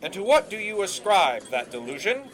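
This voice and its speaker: An actor playing a cocky, grandiose young 1920s radio announcer in an audio drama, florid and emphatic. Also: male voice, high voice, sing-song, fairly quick, fairly bright tone, faint music behind. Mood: incredulous. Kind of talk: period audio drama acting.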